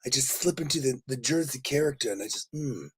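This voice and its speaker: A man with a raspy voice worn from a lot of talking, chatting casually and effusively with live viewers as host, in a jokey, rambling way. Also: mid-range voice, animated, a little fast. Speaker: livestream host.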